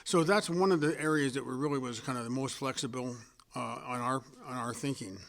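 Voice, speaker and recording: A man's voice: medium pitch, brisk, gravelly, a bit bright. A man answering hesitantly and casually as a panelist at a studio Q&A. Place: studio room with an audience.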